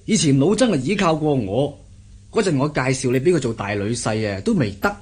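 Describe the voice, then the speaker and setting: An actor playing a sly, teasing middle-aged adviser in a radio drama, speaking Hong Kong Cantonese. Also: male voice, mid-range voice, very animated, brisk, darkish tone, breathy edge. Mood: scheming.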